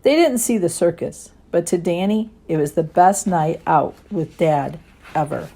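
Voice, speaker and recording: A woman reading a children's story and gentle lesson expressively, as a Sunday school teacher does. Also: fairly low pitch, expressive, a little slow, vocal fry, husky.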